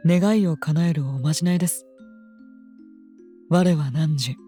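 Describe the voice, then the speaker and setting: A woman playing a composed, devoted royal attendant in an audio drama, speaking politely and formally with gentle admonishment. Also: low-pitched, soft background music. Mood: soothing. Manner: polite, measured, formal speech. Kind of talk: scripted audio drama acting.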